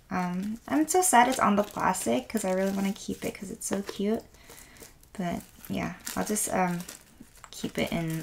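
A woman with a raspy voice today, chatting softly and gushing with lots of fillers as she unboxes an album at a table. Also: lowish voice, a little hiss or hum. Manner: soft, rambling, gushing with many fillers. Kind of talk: casual unboxing chat. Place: indoors at a wooden table.

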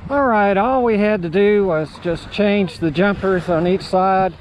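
An American man in his sixties chatting casually and haltingly as he narrates an outdoor vlog. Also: high voice, background noise. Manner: halting, unhurried. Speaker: amateur radio operator and vlogger. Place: outdoors at a beachside park. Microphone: handheld action camera or phone at arm's length.

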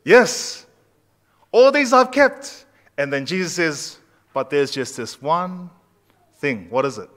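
A man's voice: fairly high pitch, expressive, slow pace, pauses often, fairly bright tone. A man in his thirties preaching a conversational sermon in a church sanctuary, asking the congregation questions as he goes. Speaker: pastor. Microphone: far camera at back of church.

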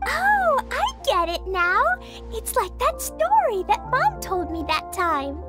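A very high, childlike little girl for a game. She is excitable and imaginative, and her voice swings widely as she talks, over music. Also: slow, full character voice. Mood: eager, explaining. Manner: speaks of herself by name in simple childish words.

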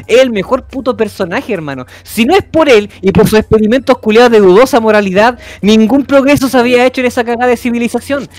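A man's voice: very high-pitched, quick pace, few pauses, dry recording. A man, a co-host ranting fervently in casual stream banter.

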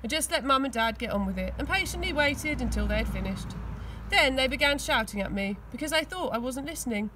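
A woman's voice: sits high, lively intonation, fairly quick, background noise. A woman reading a children's story aloud expressively, recorded outdoors. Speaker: school teaching assistant reading aloud. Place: outdoors on grass.

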